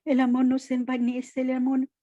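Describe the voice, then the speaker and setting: A woman's voice: sits high, monotone, normal speed, mellow, dry recording, lo-fi sound. A woman leading a fervent spoken prayer, pleading and interceding, with an address to the Lord repeated between every phrase.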